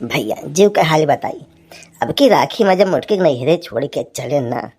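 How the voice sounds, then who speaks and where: A folksy cartoon husband acted by a woman with a low voice and an Awadhi village accent. He grumbles, teases and scolds, a put-upon man stuck with the housework. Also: lively intonation, normal speed, darkish tone, breathy edge. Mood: chatty. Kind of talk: cartoon comedy dialogue.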